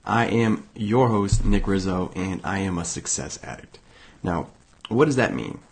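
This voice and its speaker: Hoarse, raspy low-voiced young man. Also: expressive. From Massachusetts, USA. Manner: casual, halting, many fillers and restarts. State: hoarse from illness. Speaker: podcast host. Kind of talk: motivational self-help monologue.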